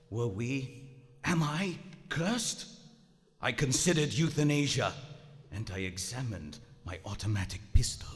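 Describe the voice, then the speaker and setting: A man playing a proud German WWI submarine commander slipping into madness, narrating formally and deliberately in a live radio drama. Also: mid-range voice, natural voice. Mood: troubled, mournful. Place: large theater stage. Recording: live theater stage, long reverb, music underneath.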